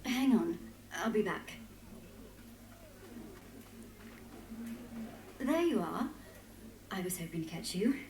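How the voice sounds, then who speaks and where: An actress playing a keen, headstrong historian in a video game, with an inquisitive, insistent manner. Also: female voice, medium pitch, sing-song, normal speed, echoey room, background noise. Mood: warm, teasing. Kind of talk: dramatic video game voice acting.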